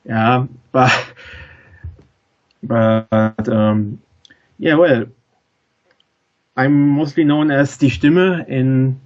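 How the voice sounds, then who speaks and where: A man in his thirties from Germany with a German accent, a call-in guest who talks haltingly and searches for words. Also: mid-range voice, steady tone, a little mellow, muffled recording. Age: 30s. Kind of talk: casual podcast chat. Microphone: internet voice call.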